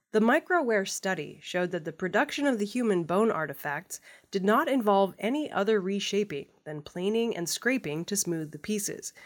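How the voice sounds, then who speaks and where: Woman reading scripted news in measured tones. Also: mid-range voice. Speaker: news reader and host.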